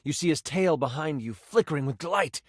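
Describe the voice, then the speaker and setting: An actor playing an excitable, kindly tabletop game master in a video game, narrating theatrically with expressive, dramatic delivery. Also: male voice, a little high, brisk, dry recording, studio quality. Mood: dramatic, immersive. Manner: expressive, dramatic, shifting into asides. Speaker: game master character in a dating sim game.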